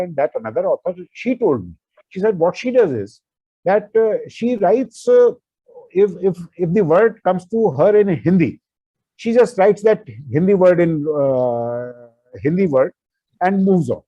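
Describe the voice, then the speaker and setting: Hesitant man, dark dull sound. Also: fairly high pitch, no room sound. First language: not English. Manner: hesitant, many fillers and restarts. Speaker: author, interview guest. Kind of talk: conversational interview answers.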